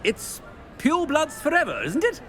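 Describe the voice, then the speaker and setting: A man's voice: very high voice, huge pitch swings, measured pace, a bit bright, slight background noise, full character voice. A man playing a pompous, irritable wizard headmaster in a video game, haughty and blustering. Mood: flustered. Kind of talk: video game character acting.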